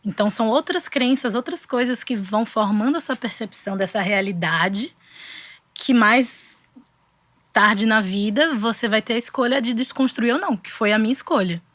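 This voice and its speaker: A woman's voice: a little high, normal speed, a bit bright, dry recording. A young woman from Brazil with a Bahian accent, reflecting thoughtfully as a guest in a casual interview, restarting her sentences often. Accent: Bahian Portuguese. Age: young adult. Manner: reflective, with frequent restarts and fillers. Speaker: guest artist.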